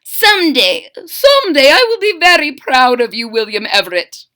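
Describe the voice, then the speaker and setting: Woman playing a high, bright crocodile mama. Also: big pitch swings, normal speed, heavily acted. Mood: proud, eager. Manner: bright, encouraging, motherly. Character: loving, proud crocodile mother. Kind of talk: storybook read-aloud character.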